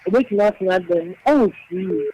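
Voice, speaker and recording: A man's voice: sits high, lively intonation, fast talker, warm tone, dry recording, low-quality recording. A man with a Ghanaian accent calling a radio show, earnestly telling a story. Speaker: caller. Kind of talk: radio phone-in call.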